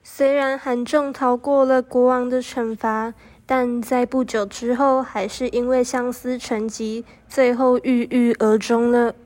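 A woman's voice: fairly high pitch, flat delivery, measured pace, darkish tone. A young woman reading scripted narration for a student radio drama. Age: young adult.

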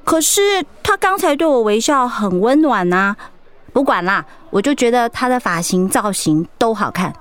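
An actress playing an outspoken, doting middle-aged wife in a radio drama, with a Taiwanese Mandarin accent. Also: female voice, a little high, big pitch swings, normal speed. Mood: chatty, admiring.

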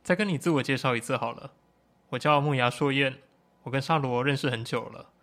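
A man's voice: mid-range voice, brisk, a bit bright, natural voice. A man voicing an easygoing young man who talks in a playful, teasing way.

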